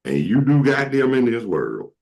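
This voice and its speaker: A man's voice: wildly swooping pitch, moderate pace, vocal fry, a little mellow. A man, hosting a casual chat, joking and telling stories. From Atlanta, Georgia. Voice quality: very deep voice. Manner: joking, slips into comic impressions. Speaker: show host.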